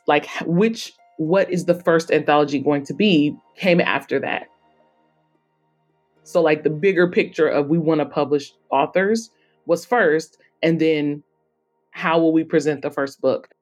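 A woman's voice: sits low, unhurried, darkish tone, faint music behind. A woman speaking conversationally as a guest in a podcast interview, explaining her work. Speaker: podcast guest, author and editor-in-chief.

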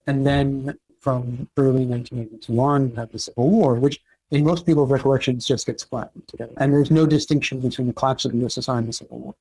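A man answering interview questions about history, measured and explanatory, often checking in with "right?". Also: medium pitch, fairly even intonation, warm tone, husky, dry recording, poor audio. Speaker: historian guest.

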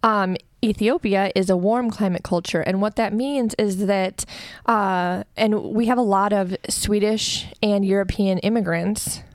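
A woman's voice: medium pitch, steady tone, a little slow, no room sound. A woman, co-hosting a casual podcast, speaking thoughtfully with many hesitations. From Eastern Iowa, USA. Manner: thoughtful, hedging, many ums. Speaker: podcast co-host.

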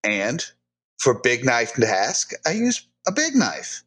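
Man, podcast host, dry wisecracking banter, mid-pitched everyday voice. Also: wildly swooping pitch, slow pace, fairly bright tone. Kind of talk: casual podcast chat.

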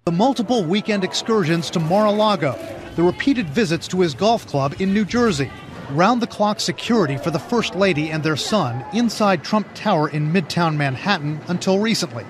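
A man's voice: high voice, animated, normal speed. A man narrating a scripted television news report as a reporter. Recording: news clip with clear outdoor noise.

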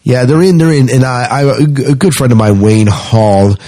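A man's voice: mid-range voice, normal speed, dark tone. A man hosting a podcast in casual conversation, often restarting his sentences as he goes. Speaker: podcast host. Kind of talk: casual podcast interview chat.

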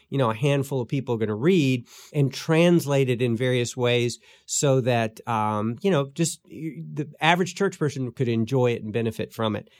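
Middle-aged male podcast co-host in his fifties, mid-pitched, hesitant and filler-heavy, close and dry. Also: darkish tone, no room sound. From born in Guyana, raised in Belize. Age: 50s. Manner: hesitant, many fillers and restarts. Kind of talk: casual interview chat.

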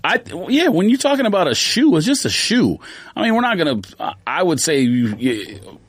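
Lively man chatting, close dry sound. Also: on the high side, normal speed, breathy edge, dry recording. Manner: slangy, rambling. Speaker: sneaker podcast co-host. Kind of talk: casual podcast banter.

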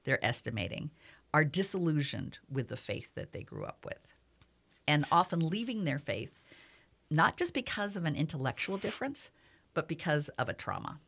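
A woman hosting a radio talk show in a studio, talking earnestly and at a measured pace, with many fillers. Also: low voice, very animated, gravelly, a bit bright.

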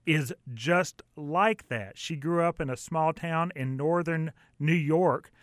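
A man's voice: a little high, slow, dry recording, studio quality. A man hosting a radio show, introducing and interviewing a guest. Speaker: radio host.

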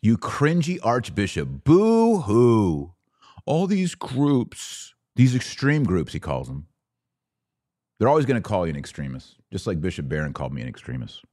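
Deep-voiced man, animated sarcastic commentator. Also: moderate pace, no echo, clean studio sound. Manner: mocking, sarcastic, emphatic. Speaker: podcast host and commentator. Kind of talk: opinionated live commentary, reading aloud and reacting.

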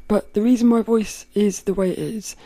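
A woman with a rough, cracking voice, hosting a podcast and thinking aloud in a rambling way, often laughing. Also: medium pitch, warm tone, a little hiss or hum. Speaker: podcast host. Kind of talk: casual reflective monologue.

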